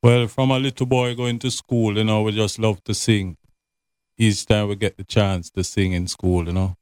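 A man speaks as a reggae musician guest, laid-back and friendly. His voice is smooth and resonant, pitched a little low. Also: little pitch movement, measured pace, darkish tone, no room sound.

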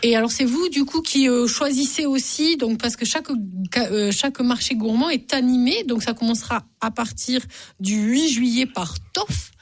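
A woman hosting a local radio magazine interview, chatty and quick to laugh, with lots of fillers. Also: on the high side.

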